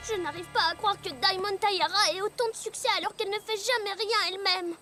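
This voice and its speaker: A woman's voice: very high voice, brisk, sharp tone. A woman voicing a sweet, polite little girl pony, childlike and a bit unsure, in a French cartoon dub. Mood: amazed. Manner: childlike, earnest. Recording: music bed under the voice.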